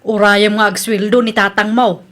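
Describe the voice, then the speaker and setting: A woman's voice: medium pitch, level tone, moderate pace, fairly bright tone, natural voice. A woman acting a bossy, exasperated wife and mother who runs the household money in a radio drama.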